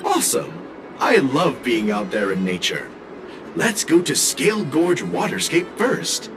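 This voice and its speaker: A man's voice: mid-range voice, huge pitch swings, unhurried, over music. A man playing an eager, friendly young tourist merchant in an anime-style video game. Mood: enthusiastic.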